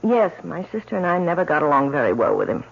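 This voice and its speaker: A woman's voice: sits low, big pitch swings, quick pace, gravelly, slightly rough, exaggerated voice. An actress playing an anxious, determined young woman in a radio drama, with a dramatic, insistent delivery. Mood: grieving, insistent. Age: young adult. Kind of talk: 1970s-style radio drama acting. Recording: dull lo-fi old radio broadcast.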